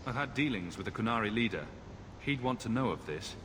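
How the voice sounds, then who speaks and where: A man's voice: on the low side, animated, brisk, fairly bright tone, in a large hall, slight background noise. A man playing a wry, skeptical adventurer for hire in a fantasy video game, terse and challenging. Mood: impatient.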